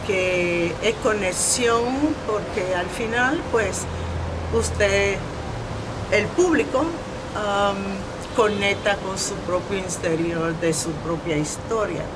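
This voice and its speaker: A middle-aged woman with a Dominican accent talks reflectively about her art in an interview, pausing often. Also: mid-range voice, very noisy. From Dominican Republic. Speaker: visual artist, interview guest.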